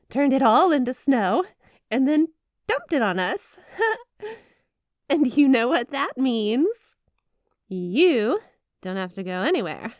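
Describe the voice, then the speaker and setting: A woman's voice: fairly high pitch, no room sound, studio quality, heavily acted. A woman playing a flirty, clingy wife with magic snow powers in a scripted ASMR roleplay, playful, coaxing and theatrically dramatic. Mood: excited, flirty.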